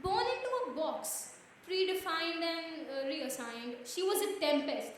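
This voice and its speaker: A very high-voiced woman performs slam poetry in a dramatic, declamatory way. She takes her time with the phrases, and the sound is heavily echoed, as in a big reverberant room. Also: lively intonation, a little slow, slight background noise. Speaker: slam poet. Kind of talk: performed spoken-word poetry recital. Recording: very echoey room, mic far off.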